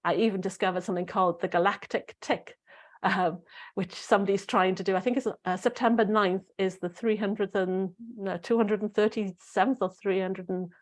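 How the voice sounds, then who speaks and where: A middle-aged woman hosting a spiritual talk show, musing and rambling with fillers and restarts, her voice slightly croaky. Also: mid-range voice, fairly even intonation, a little fast. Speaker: spiritual podcast host. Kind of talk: casual solo talk to listeners.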